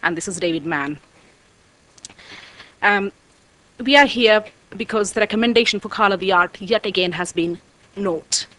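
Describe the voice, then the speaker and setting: A woman addresses a council meeting as a guest speaker from an arts organisation. Her mid-pitched voice is croaky. She speaks in a formal presentation style. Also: normal speed, pauses often, bright, forceful tone, dry recording, rough recording. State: croaky voice, needing water. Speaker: artistic director of a dance company, guest speaker.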